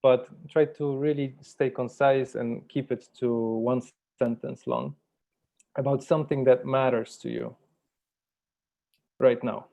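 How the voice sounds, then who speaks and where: A man facilitating a workshop, speaking in a measured, deliberate way, like someone guiding a group through an exercise. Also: medium pitch, flat delivery, dark tone. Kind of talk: guided meditation and workshop facilitation. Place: virtual workshop over video call.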